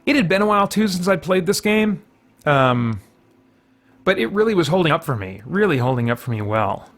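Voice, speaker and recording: A man's voice: a little high, big pitch swings, brisk, a bit bright. Rambling man doing game commentary. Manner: rambling, thinking aloud. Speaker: gaming video host. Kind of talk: casual let's play commentary.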